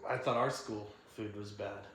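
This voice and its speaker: A young adult man conducting a casual interview, asking relaxed, chatty questions with frequent laughter. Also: fairly low pitch, normal speed, slight background noise. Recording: echoey room.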